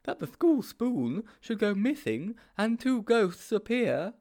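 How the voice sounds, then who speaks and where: A timid, muddled sidekick from a storybook, pitched far up into a high voice. The delivery is plaintive and naive, swooping through admiring, puzzled questions. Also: male voice, big pitch swings, slow, darkish tone, exaggerated voice. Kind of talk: storybook character voice.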